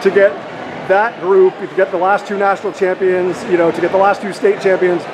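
Brief: high-voiced male sports interviewer, quick and rambling, noisy arena hallway. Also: flat delivery. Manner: long rambling questions full of fillers. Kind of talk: postgame interview. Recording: noisy background.

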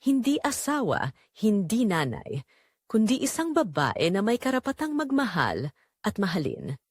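A woman's voice: medium pitch, wildly swooping pitch, a little slow, dry recording, clean studio sound. A woman narrating a scripted dramatic story in the first person.

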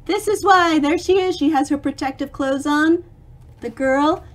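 A middle-aged woman reading a picture book aloud to young children, expressive and theatrical, pausing to explain things with dramatic emphasis. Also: high voice.

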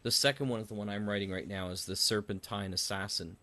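A man's voice: on the low side, fairly even intonation, quick pace, sharp tone. A man hosting a casual interview about his field, talking in an enthusiastic way. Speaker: podcast host and audio drama writer.

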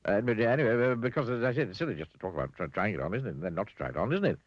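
A man's voice: on the low side, expressive, rapid-fire, husky, no room sound, muffled recording. A man voicing Mister Chatterbox, a fussy little man who rambles on breathlessly and can't stop talking. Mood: flustered.

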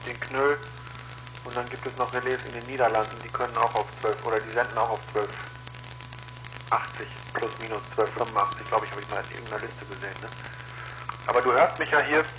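A man's voice: mid-range voice, steady tone, a little fast. A man talking casually as an amateur radio operator during a radio contact. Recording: dull sound with clear radio noise.

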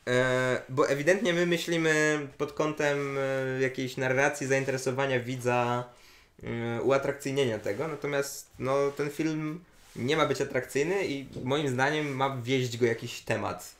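Young male reviewer, casual conversational delivery, middling pitch, echoey indoor room. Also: fairly even intonation, unhurried, fairly bright tone. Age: young adult. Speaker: film festival reviewer. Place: small living room, indoors, on a couch. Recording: roomy echo.

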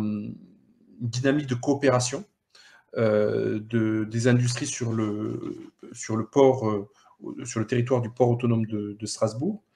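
A middle-aged man presents as a panellist on an online webinar, speaking into a headset. His voice sits at a middle pitch, and he explains in a halting way, often doubling short words. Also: a little mellow. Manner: halting, repeats small words. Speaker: local economic development director, panellist. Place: indoor room, on a video call. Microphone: headset on video call.

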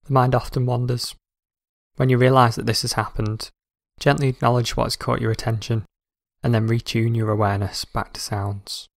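Male meditation guide, mid-pitched voice, calm and measured, scripted instruction, close dry recording. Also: creaky, no echo.

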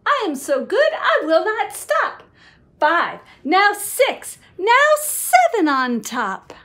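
Slow, high-pitched woman reading to children. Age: middle-aged. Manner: expressive, sing-song, slow, with whispered asides. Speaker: children's book reader. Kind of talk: animated read-aloud of a picture book for young children. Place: small room at home.

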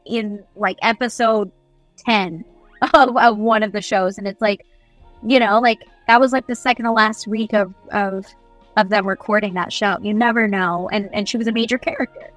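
A woman's voice: mid-range voice, steady tone, normal speed, fairly bright tone, no room sound, rough recording. Chatty young woman over music. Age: young adult. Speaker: guest voice actor. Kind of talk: casual video interview, giving advice. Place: small indoor room, on a video call.

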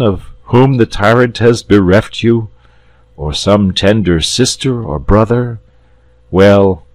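A man's voice: lowish voice, dry recording, pitched above the performer's own voice. A man reading a story, voicing a kindly, formal knight with old-fashioned phrasing. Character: kindly, solemn knightly saint, adult man.

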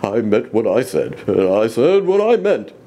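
A man voicing a big, gentle and faithful male elephant who talks in a low, earnest, steady way in a storybook reading. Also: moderate pace. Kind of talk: storybook read-aloud.

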